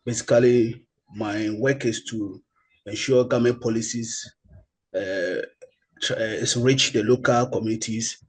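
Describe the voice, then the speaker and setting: A social worker introducing himself formally in an online meeting. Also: male voice, mid-range voice, fairly even intonation, unhurried, breathy edge, rough recording. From Ghana.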